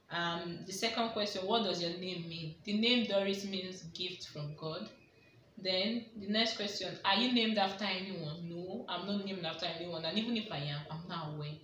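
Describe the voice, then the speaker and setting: A woman's voice: medium pitch, a bit bright, a little hiss or hum. A young woman with a Nigerian accent, answering questions in a casual vlog and thinking aloud, repeating each question to herself. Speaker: vlogger. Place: small indoor room with a plain wall. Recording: echoey room, mic at a distance.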